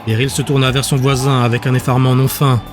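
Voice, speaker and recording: A man's voice: medium pitch, flat delivery, fast talker. A man reading scripted fiction as an audiobook narrator. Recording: music bed behind the voice.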